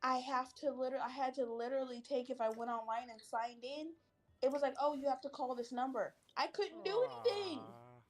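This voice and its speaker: A woman in casual stream chat, venting in an animated way and acting out the voices she quotes. She says her natural voice is deeper and that she pitches it up. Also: a little fast. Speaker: stream co-host. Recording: music playing behind.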